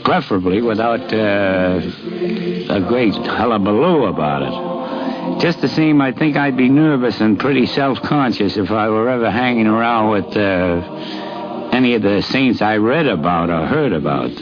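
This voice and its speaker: A man's voice: fairly low pitch, expressive, moderate pace, over music. A man reading out a personal, conversational written tribute on a 1950s radio program. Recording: dull vintage 1950s radio recording.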